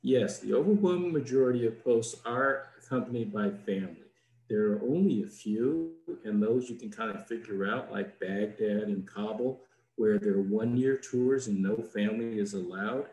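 A man, a diplomat guest, answering questions in a plain, measured, explanatory way over a video call. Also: on the low side, mellow. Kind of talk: informative answers in an interview. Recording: roomy echo.

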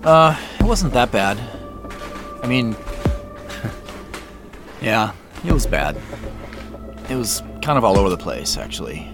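A man's voice: lowish voice, big pitch swings, slow pace, long pauses, over music, full character voice. A man acting in an audio drama as an uneasy, polite man on a tense phone call, speaking in a hesitant, understated way. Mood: hesitant, reassuring.

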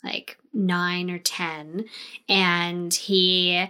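A woman's voice: lowish voice, little pitch movement, very slow, bright, forceful tone, smooth. A woman chatting casually as a player on a tabletop game podcast. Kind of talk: casual chat.

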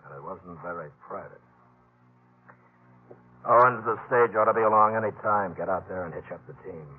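A man's voice: low-pitched, moderate pace, a little hiss or hum, natural voice. An actor playing a menacing, commanding male outlaw boss, in 1950s American radio drama style. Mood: coolly menacing. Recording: tinny 1950s radio recording with music.

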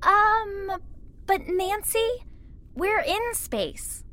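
A woman's voice: very high-pitched, expressive, drawn-out words, bright, forceful tone, heavily acted. A woman playing a naive, chirpy chatbot, eager and guileless, in an audio comedy drama. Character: naive, chirpy female chatbot aboard a spaceship.

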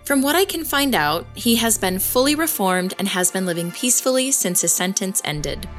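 An actress playing an investigative podcast host, delivering scripted documentary narration. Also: female voice, medium pitch, lively intonation, moderate pace, natural voice. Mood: composed, informative. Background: music.

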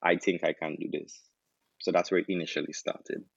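A young man with a Trinidadian accent, a doctor turned chef, telling his story in a relaxed interview with many restarts. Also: low voice, brisk, gravelly, breathy edge, dull audio. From Trinidad and Tobago. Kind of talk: casual interview answers.

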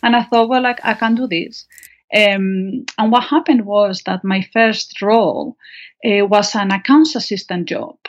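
A woman's voice: medium pitch, unhurried. A woman from Spain with a Spanish accent, telling her personal story as a guest author in an interview. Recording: dull, band-limited lo-fi sound.

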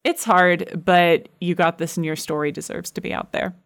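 A woman's voice: on the low side, measured pace, creaky. An American woman talking casually as a guest in a podcast interview, giving advice from experience. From United States. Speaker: podcast guest, show creator.

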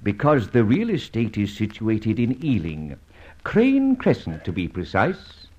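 A dull old radio recording carries a suave gentleman adventurer. His husky voice rises and falls dramatically as he delivers polite, teasing lines with cool assurance and calculated hesitation. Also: lowish voice, wildly swooping pitch, unhurried, exaggerated voice. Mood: courteous, insistent.

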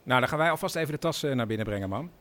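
An actor playing a dry, teasing grown son in a naturalistic radio drama, wryly echoing what others say. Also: male voice, medium pitch, lively intonation, rapid-fire, a bit bright, close to an everyday voice. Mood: mocking. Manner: wry, mock-echoing others' words.

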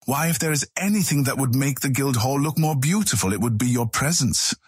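An actor playing a gallant, commanding guard in armor in a fantasy audiobook. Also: male voice, medium pitch, animated, fairly quick, slightly rough, dry recording, clean studio sound. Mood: gallant flattery. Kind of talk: full-cast audiobook acting.

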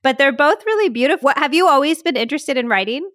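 A woman's voice: high-pitched, expressive, fast, fairly bright tone, no room sound. Bubbly woman host with a dry close sound. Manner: bubbly, effusive. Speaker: podcast host. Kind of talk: enthusiastic interviewing and ad read.

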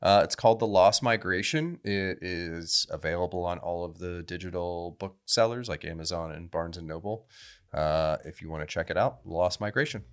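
A man's voice: deep voice, moderate pace, clean tone. A man co-hosting a podcast in casual, informal chat with slightly hesitant phrasing. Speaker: podcast co-host.

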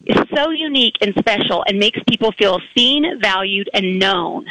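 A hoarse grandmother-aged woman speaking over a phone line, with a bright, forward tone. She talks earnestly and warmly, stopping and restarting her sentences as she goes. The sound is dry and close. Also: mid-range voice, animated, no echo. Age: older. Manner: earnest, emotional, halting with restarts. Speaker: caller. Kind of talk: heartfelt testimonial on a call-in radio show.

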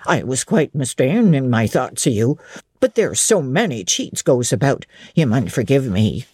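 A woman's voice: extremely low pitch, very animated, moderate pace, darkish tone, slightly rough, no echo, studio quality, pitched below the performer's own voice, heavily acted. A woman voicing a plain-spoken, homely old servant woman with a Northern English dialect, in a dramatic audiobook reading. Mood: contrite.

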